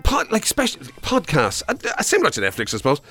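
Animated Dublin man chatting brightly. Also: on the high side, quick pace, soft background music. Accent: Dublin Irish. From Dublin, Ireland. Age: middle-aged. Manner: chatty, sweary. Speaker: podcast and radio show co-host. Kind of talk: casual chat.

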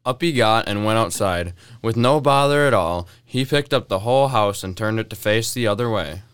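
A man narrating a folktale in a radio drama with an expressive, folksy storytelling manner. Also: on the low side, moderate pace, clean tone, dry recording, studio quality.

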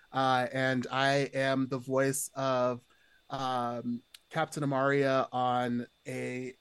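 A man's voice: mid-range voice, clean tone, no room sound. A man chatting casually as a podcast guest, rambling along and peppering his sentences with "like". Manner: rambling, heavily hedged with "like".

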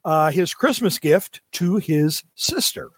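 A man's voice: fairly high pitch, expressive, slow pace, breathy edge, no echo. A middle-aged man co-hosting a podcast in casual chat, opinionated and prone to ranting. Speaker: podcast co-host reviewing comics.

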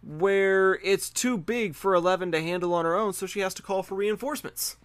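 Man, slightly high-pitched, casual podcast co-host, repeats words for emphasis, very dry recording. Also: moderate pace, clear, resonant tone, no echo. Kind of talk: casual pop-culture discussion.

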